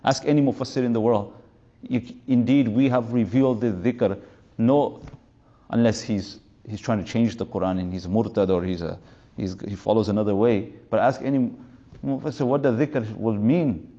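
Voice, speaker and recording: A man's voice: on the low side, moderate pace, dark tone, muffled recording. A man of fifty giving an informal religious lecture as a teacher, rambling through anecdotes and mixing in Arabic and Urdu terms. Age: 50s.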